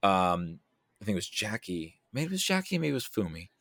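A man with a fairly low voice chats about films as a podcast guest. He thinks aloud, rambling and stopping and starting as he goes. The sound is very dry, with no room to it. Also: big pitch swings, a little fast, a bit bright, no room sound. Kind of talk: casual film discussion.

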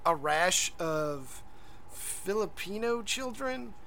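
A man's voice: high voice, animated, takes it slowly, vocal fry, sharp tone, a little hiss or hum. A man co-hosting a podcast in casual chat, rambling and hesitant, full of fillers and restarts. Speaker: podcast co-host.

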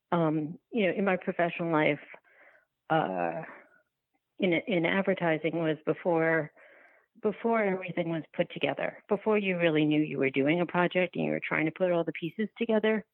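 A woman being interviewed as a filmmaker, talking reflectively and haltingly as she thinks aloud and rephrases. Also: fairly low pitch, no room sound. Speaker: guest, documentary filmmaker. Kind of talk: reflective podcast interview conversation. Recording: thin, phone-like line.

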